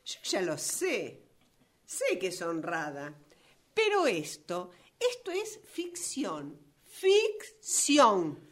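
An actress with a Rioplatense Argentine accent playing a defensive, flustered novelist in a radio play rehearsal, hesitant and protesting. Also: female voice, fairly low pitch, wildly swooping pitch, takes it slowly, full character voice. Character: flustered, defensive woman novelist facing her own character.

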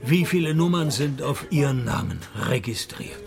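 A man playing a dry, sardonic private detective in a radio play, deadpan and laconic. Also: medium pitch, normal speed, creaky, soft background music. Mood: dry, probing. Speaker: lead actor and first-person narrator of a radio play. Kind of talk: radio drama dialogue and scripted first-person narration.

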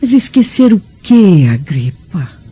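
An actress with a Brazilian accent playing a worried, tender middle-aged Roman mother in a radio drama, gentle, pleading and formal. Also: mid-range voice, very animated, takes it slowly, slightly rough, heavily acted. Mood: tender concern. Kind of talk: melodramatic radio drama acting. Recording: muffled lo-fi radio drama, background noise.

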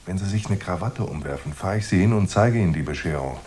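A wry noir detective, extremely low voice, laconic, unflappable, radio drama delivery. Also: male voice, expressive, quick pace, a little mellow, slight background noise. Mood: sardonic, tough. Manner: dry, laconic, sardonic. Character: hard-boiled male private detective narrating, wry and unflappable. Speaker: narrator and lead actor.

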